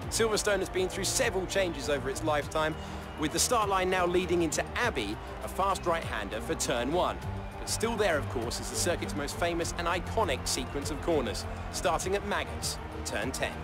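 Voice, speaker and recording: A man's voice: a little high, natural voice. A man playing a racing game's circuit commentator, giving a polished scripted introduction. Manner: polished, lively presenter delivery. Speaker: race commentator in the game. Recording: game audio with loud music behind.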